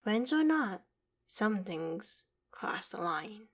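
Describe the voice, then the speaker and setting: A woman's voice: mid-range voice, very animated, slow pace. A woman voicing a proud, vain ancient dragon in human form for an ASMR roleplay drama, with formal, haughty, theatrical phrasing. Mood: gentle, reassuring.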